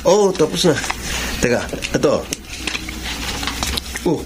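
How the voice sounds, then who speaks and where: A casual Filipino buddy, lively swooping intonation, talkative, radio drama, noticeable background noise. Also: male voice, fairly high pitch, slow. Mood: curious. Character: casual, chatty male buddy of the young hero. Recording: clear background noise and ambience.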